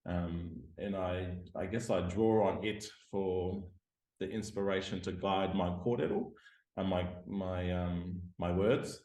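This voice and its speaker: A man from Australia, a scientist and lecturer, talking thoughtfully over a video call and pausing often with ums. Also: low-pitched, steady tone, mellow, reverberant, poor audio. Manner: hesitant, many ums, self-deprecating. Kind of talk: reflective interview talk. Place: home room by a window.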